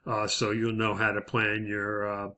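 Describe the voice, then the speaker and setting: A man giving a lecture as an instructor, explaining methodically in a semi-scripted way with hesitant pauses. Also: on the low side, completely flat delivery, bright, forceful tone.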